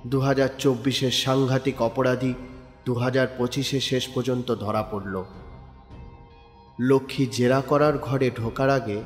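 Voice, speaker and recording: A man narrating a crime audio story in a measured, descriptive storytelling style. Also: medium pitch. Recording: reverberant room with background music. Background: soft background music.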